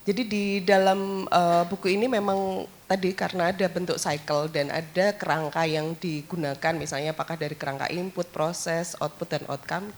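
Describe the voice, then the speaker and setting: A woman's voice: lowish voice, slight background noise. A woman, an author answering audience questions at a book launch, explaining at length with many hesitations. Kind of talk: academic Q&A answer.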